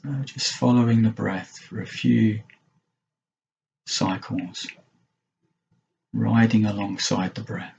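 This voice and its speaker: A man guides a mindfulness meditation in a low, creaky voice. He speaks gently, leaving long pauses between short phrases. The recording is dull and slightly muffled. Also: fairly even intonation, a little hiss or hum. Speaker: meditation teacher.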